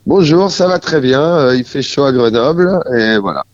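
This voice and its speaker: A man in his forties from France, a musician speaking as a guest in a casual radio interview, halting and searching for his words. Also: mid-range voice, animated, no echo, dull audio.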